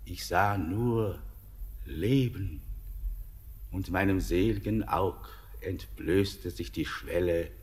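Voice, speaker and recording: An ancient, otherworldly mountain spirit in a radio play, with a very deep voice. He speaks extremely slowly, weighing every word of his verse. A long reverb hangs behind him, as if in a hall. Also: a little mellow. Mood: weary. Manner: very slow, weighty, declamatory verse. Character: old male mountain spirit, weary and otherworldly. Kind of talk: classic German radio play acting.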